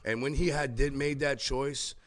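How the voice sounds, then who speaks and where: A middle-aged man chats as an interview guest, his voice sitting at a plain middle pitch. He talks in quick, animated bursts, often repeating himself with a loose street-style flow. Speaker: interview guest, rapper and father. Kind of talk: casual interview chat.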